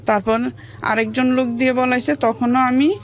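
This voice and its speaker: A woman with a Bangladeshi accent tells her own life story as an interview guest, in colloquial, halting speech. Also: high voice, fairly even intonation. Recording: music behind the voice. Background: background music.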